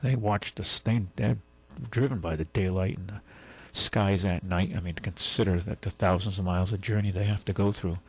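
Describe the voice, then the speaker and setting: A man with a low, husky voice hosts a radio show from a studio mic. He talks in a loose, rambling way, full of asides and restarts. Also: fairly even intonation, normal speed, low-quality recording. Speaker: radio host. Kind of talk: casual talk radio monologue.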